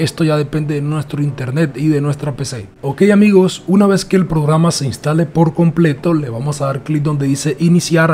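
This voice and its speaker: A man narrating a casual software tutorial in a chatty, repetitive way. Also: on the high side, slight background noise. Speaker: tutorial host.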